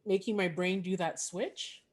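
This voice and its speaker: Low-voiced woman, chatty. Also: steady tone, unhurried. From Canada. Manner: chatty, laughs between phrases. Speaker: podcast host, comedian. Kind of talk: casual chat.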